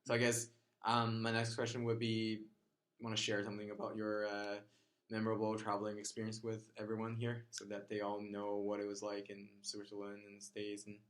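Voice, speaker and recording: A man's voice: fairly low pitch, monotone, a little fast, a bit bright. A man interviewing a friend in casual chat, asking loose questions.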